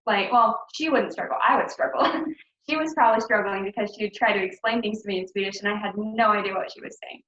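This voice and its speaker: A woman's voice: medium pitch, fast, a bit bright. A young woman recounting her missionary years in a chatty, casual way, full of ums and likes and light laughs, speaking over a video call. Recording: roomy, dull call audio.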